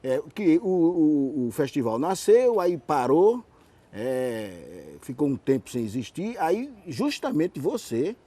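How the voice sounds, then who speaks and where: A man hosting a conversational TV interview in a halting, wandering way. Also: a little high, very animated, mellow. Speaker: TV interview host.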